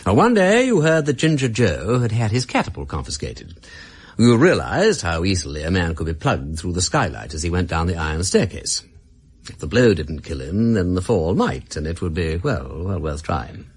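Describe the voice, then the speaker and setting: An actor with an upper-class English accent playing an urbane, shrewd gentleman detective in a radio drama, wry and understated. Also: low voice, big pitch swings, moderate pace, husky, exaggerated voice. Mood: knowing, measured.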